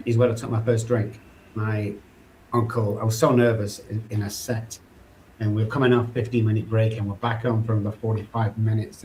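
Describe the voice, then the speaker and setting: A man's voice: on the low side, a little mellow, low-quality recording. A middle-aged man from England with a Manchester accent, a podcast guest telling his own story in an earnest, loose, rambling way. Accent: Mancunian English. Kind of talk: candid personal recovery story. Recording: faint background noise.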